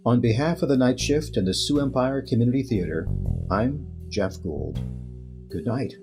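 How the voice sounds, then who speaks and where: A man's voice: medium pitch, lively intonation, moderate pace. A man hosting a radio play, reading a scripted sign-off and cast credits. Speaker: host and writer-director. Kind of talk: scripted radio host outro. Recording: guitar music under voice. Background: guitar music.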